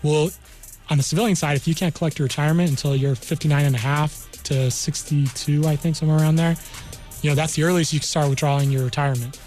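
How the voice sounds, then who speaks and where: An American man in his thirties talks very quickly in a slightly high voice. He explains things conversationally, like a studio guest giving practical advice, with music in the background. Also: steady tone, darkish tone. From New Mexico, United States. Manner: conversational, explains with hypothetical examples. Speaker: military career counselor, studio guest. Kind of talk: informal interview explanation.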